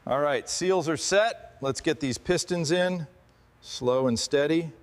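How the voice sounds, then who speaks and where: Man with slightly high voice, narrating workshop tasks. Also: slow pace. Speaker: motorcycle restorer narrating the work. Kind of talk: quiet step-by-step work commentary.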